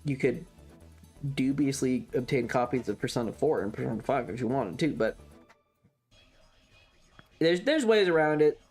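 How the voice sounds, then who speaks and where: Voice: medium pitch, lively intonation, normal speed, pauses often, a little mellow, breathy edge, soft background music. A streamer chatting casually and opinionatedly on a livestream, rambling and repeating points, with some casual swearing. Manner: casually profane. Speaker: variety streamer.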